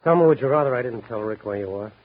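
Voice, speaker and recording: An actor playing a steady, world-weary newspaper reporter in 1950s radio drama, wry, clipped and hard-boiled. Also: male voice, mid-range voice, very fast, natural voice. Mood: concerned. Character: steady, world-weary male big-city night-beat newspaper reporter. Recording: muffled 1950s radio recording.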